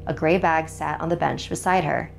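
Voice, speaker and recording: Female narrator for a creepy first-person tale: lowish voice, expressive and suspenseful, clear music bed underneath. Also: moderate pace. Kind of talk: dramatic first-person horror story narration.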